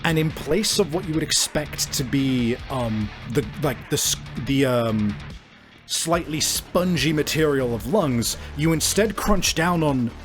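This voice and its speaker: A man's voice: on the high side, animated, unhurried. Man narrating a tabletop game over music. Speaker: game master and podcast host. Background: background music.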